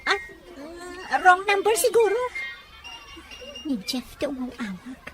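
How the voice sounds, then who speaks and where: High-voiced evasive young woman in radio drama. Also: expressive, measured pace, over music. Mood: flustered, excusing. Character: evasive young woman juggling two suitors.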